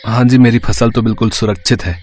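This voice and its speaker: A man's voice: medium pitch, fairly even intonation, fast talker, a little mellow, close to an everyday voice. A man playing a poor farmer, polite but firm, in a moral-story cartoon. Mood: polite, defiant.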